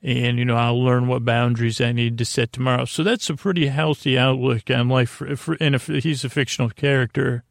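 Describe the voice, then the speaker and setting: A man hosts a sleep podcast in a creaky voice, recorded close and dry. He rambles in a lulling way, halting, repeating himself and letting thoughts trail off. The whole thing is an unhurried, wandering bedtime monologue. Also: mid-range voice, fairly even intonation, dry recording. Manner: lulling, soothing.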